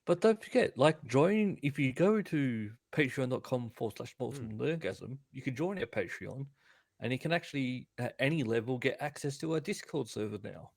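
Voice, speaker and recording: Lively, gravelly chatty man. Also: medium pitch, normal speed. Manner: chatty, enthusiastic, rambling. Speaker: podcast co-host. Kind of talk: casual chat.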